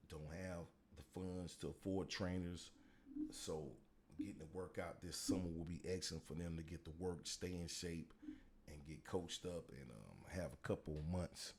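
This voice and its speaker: A man with a deep voice hosting a casual solo podcast as a middle school football coach, talking in a relaxed, rambling way with many fillers. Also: moderate pace, slight background noise.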